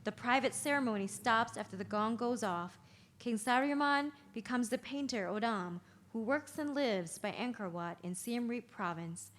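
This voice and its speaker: A woman's voice: medium pitch, a bit bright. A woman reading stage directions as narrator at a play's table read, in scripted narration.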